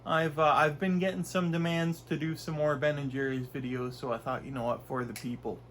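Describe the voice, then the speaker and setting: A man's voice: a little high, flat delivery, fairly quick, fairly bright tone, slight background noise. A young man from Canada with a stuffy nose from a cold, chatting casually and rambling through a food review in his bedroom. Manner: rambling, chatty, with frequent fillers and restarts. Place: small bedroom, indoors.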